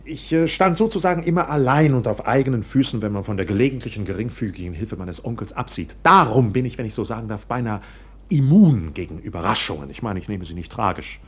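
Agitated, lively-voiced accused clerk. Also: male voice, fairly low pitch, fast, breathy edge. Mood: nervous, self-justifying. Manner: hesitant, over-explaining, agitated. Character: bewildered, indignant bank clerk protesting his arrest. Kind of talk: German radio drama acting.

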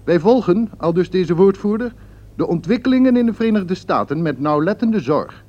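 A man playing a formal radio news correspondent who delivers measured reports, in a dull lo-fi radio recording. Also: high voice, expressive, mellow.

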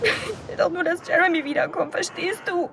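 A woman's voice: very high voice, fairly quick, creaky, noisy background. A woman playing a determined, emotional young daughter searching for her brother in a radio drama, with urgent delivery. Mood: tearful hope. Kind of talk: German radio drama acting.

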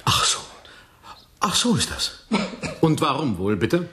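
An actor playing a gruff, sarcastic military commander in a 1980s German radio play, barking and mocking. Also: male voice, on the high side, sing-song, slow pace, bright, forceful tone, heavily acted. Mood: stern, sarcastic.

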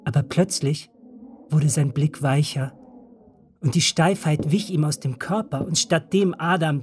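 An actor playing a grown narrator who recalls a village childhood in measured, reflective radio drama storytelling. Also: mid-range voice, darkish tone, lightly acted.

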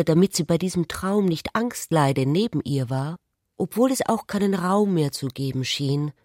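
A woman reading literary narration in a measured, contemplative manner for a radio play. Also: low-pitched, a little mellow, no echo, clean studio sound.

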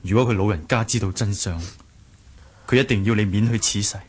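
A man's voice: lowish voice, a little fast, breathy edge. A man with a Hong Kong Cantonese accent playing an earnest, defensive young martial-arts hero in a radio drama, pleading with emphasis. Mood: earnest, resolute.

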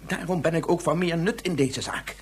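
An actor in a Dutch radio drama playing a guilt-ridden, determined scientist and father, speaking in an earnest, measured, insistent way. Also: fairly high pitch, husky.

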